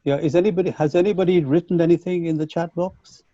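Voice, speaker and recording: A man whose first language is Punjabi, giving an online lecture to teachers in a measured, reflective way with frequent restarts, heard over a video call. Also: a little high, steady tone, warm tone, no room sound. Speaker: conference speaker. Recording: dull lo-fi video call audio.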